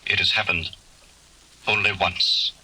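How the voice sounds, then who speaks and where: A man's voice: low-pitched, fairly even intonation, pushed and bright, heavily acted. A man voicing an impassive, helpful computer in a 1950s radio drama, speaking formally and precisely. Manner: formal, measured, announcer-like. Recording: noisy vintage radio recording, raspy.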